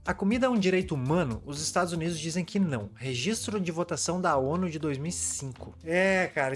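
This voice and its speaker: A man, chatting casually as a video host while walking through maps, tossing in constant "né" and "cara". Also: a little high. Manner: chatty, fast, peppered with "né" and "cara". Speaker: YouTube host. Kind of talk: casual commentary over maps.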